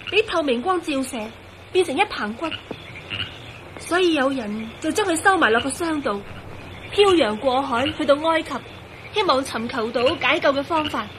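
A woman's voice: sits high, expressive, moderate pace, a bit bright, a little hiss or hum. An actress playing an anxious, devoted young woman in a Cantonese radio drama. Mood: earnest, insistent. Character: anxious, devoted young woman turned transparent, quick to reason.

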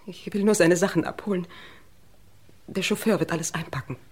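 An actress playing a reserved, shaken new widow in a vintage crime radio play, with a subdued and distraught manner. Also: female voice, fairly low pitch, a little fast, darkish tone, husky. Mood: distraught, restrained. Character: shaken, reserved newly widowed wife of murdered man.

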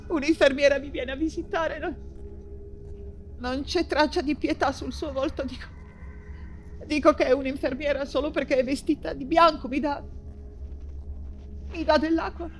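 Anguished, high-voiced imprisoned woman writer. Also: steady tone, faint music behind. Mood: fearful, confused. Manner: halting, repetitive, fevered, anguished delivery. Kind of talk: dramatic stage monologue in Italian theatre.

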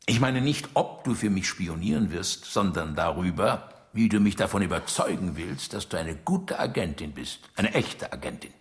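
Soviet dictator, teasing, mock-jovial, menacing, lively swooping intonation, radio drama. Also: male voice, deep voice, moderate pace, hardly any pauses. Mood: probing. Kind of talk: German radio drama acting.

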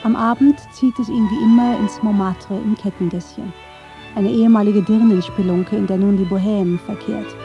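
A woman's voice: mid-range voice, fairly even intonation, moderate pace, muffled recording, soft background music. A woman reading scripted narration for a radio documentary.

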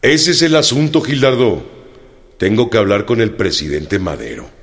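Un actor que interpreta en una radionovela a un orgulloso y receloso general revolucionario mexicano preso, con acento mexicano y voz velada. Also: male voice, fairly low pitch, animated, normal speed. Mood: insistent. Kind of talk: radio drama acting.

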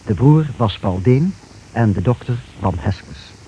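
A man's voice: mid-range voice, expressive, a little slow, mellow, highs cut off. A man announcing radio drama credits in a scripted style. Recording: hissy old radio recording.

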